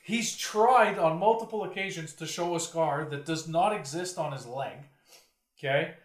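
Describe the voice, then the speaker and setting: A man's voice: on the high side, moderate pace, echoey room. A man hosting a casual podcast, telling stories and posing questions in a teasing, emphatic way. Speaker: podcast host asking questions. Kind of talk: casual banter and storytelling.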